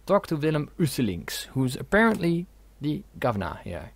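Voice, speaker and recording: A young man talks through a video game in a casual, jokey way and reads its dialogue aloud. His voice has a gravelly edge. The sound is dry and close. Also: a little high, very animated, normal speed, no room sound. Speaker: gaming video host. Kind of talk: casual let's play commentary.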